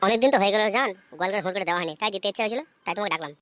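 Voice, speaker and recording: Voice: medium pitch, very fast, a bit bright, close to an everyday voice. A performer voicing a lovestruck, lecherous young village man in a comic cartoon, wheedling and sweet-talking. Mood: amorous, coaxing. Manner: wheedling, cajoling. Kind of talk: comic Bengali cartoon voice acting.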